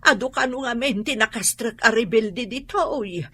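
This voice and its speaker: A woman's voice: on the high side, moderate pace, bright, forceful tone, husky, no echo. A woman with an Ilocano accent playing a worried, motherly older woman sheltering from war in a radio drama. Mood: fretful. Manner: fretting, exclamatory.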